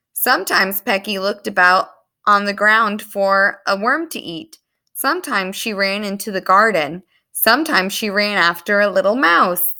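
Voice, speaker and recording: Bright, lively woman reading a children's story. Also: mid-range voice. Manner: animated, explains words to young listeners. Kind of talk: expressive read-aloud storytelling for young children.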